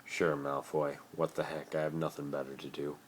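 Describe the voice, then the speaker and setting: A man's voice: extremely low pitch, level tone, moderate pace. A man voicing a skeptical, cocky teenage wizard boy hero bluntly in an amateur fan fiction reading. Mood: casual doubt. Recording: noisy amateur phone recording.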